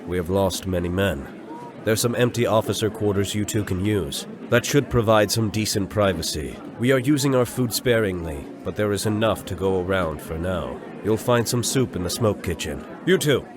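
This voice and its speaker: A man's voice: fairly low pitch, normal speed, lightly acted. Man acting as stern army commander amid crowd. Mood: brisk command. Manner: curt, authoritative orders. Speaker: voice actor. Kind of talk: fantasy audio drama acting.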